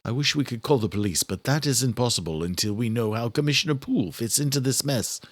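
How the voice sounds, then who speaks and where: A man's voice: mid-range voice, lively intonation, fast, dry recording, voice pushed up, close to an everyday voice. A man voicing an earnest, worried doctor in a thriller audiobook, explaining with care and loyal concern. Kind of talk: horror thriller audiobook character.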